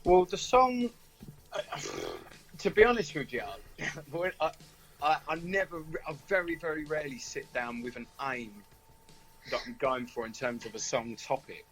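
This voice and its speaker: A man's voice: a little high, normal speed, fairly bright tone, low-quality recording. A British man chatting casually as a singer-songwriter in a radio interview, with a hesitant, rambling, informal manner.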